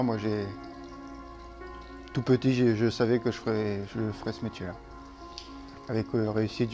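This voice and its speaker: A middle-aged man from Normandy, a horse trainer, speaking in a relaxed, halting way with restarts in a casual interview. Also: lowish voice, vocal fry. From Manche, Normandy, France.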